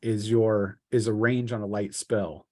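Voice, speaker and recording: Man refereeing a tabletop game, halting delivery. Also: on the low side, flat delivery, warm tone, no echo, studio quality. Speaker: game master.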